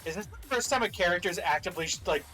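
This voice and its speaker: A man hosting casual reaction commentary on game trailers, with jokey, animated asides. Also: high voice, racing, soft background music.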